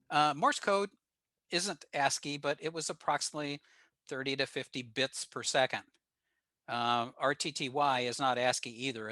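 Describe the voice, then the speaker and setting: Older American man, bright voice, dry recording. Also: mid-range voice, no echo. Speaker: guest presenter at a radio club. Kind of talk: informal technical presentation. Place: remote video call.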